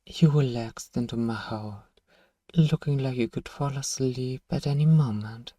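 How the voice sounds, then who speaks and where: A man acts out a powerful male vampire in an ASMR roleplay. He speaks slowly in a dark, mellow tone, suave and condescending, coaxing and commanding by turns. The voice sits a little away from the mic, with very little room sound. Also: medium pitch, no room sound, far from the mic. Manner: theatrical, commanding, softly menacing, condescending. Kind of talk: ASMR roleplay, scripted hypnotic monologue.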